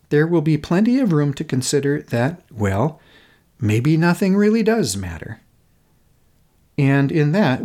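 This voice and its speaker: A middle-aged American man hosting a podcast, narrating a reflective script in a gentle, deliberate, contemplative manner. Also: medium pitch. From Minnesota, United States. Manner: contemplative, gentle, deliberate phrasing with emphatic repetition. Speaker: podcast host.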